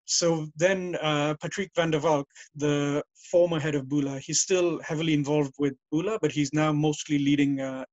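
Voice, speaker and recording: A man's voice: on the high side, fairly even intonation, moderate pace, hardly any pauses, no room sound. Man with a dull, dry call sound. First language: English. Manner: rambling, self-correcting storyteller. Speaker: guest. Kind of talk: casual interview over a call.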